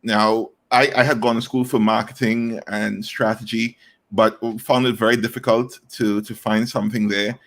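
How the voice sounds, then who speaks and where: A man's voice: fairly low pitch, little pitch movement, moderate pace, fairly bright tone. A man in his thirties from Trinidad and Tobago, answering interview questions earnestly with frequent fillers and restarts. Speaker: guest, inclusive recruitment specialist.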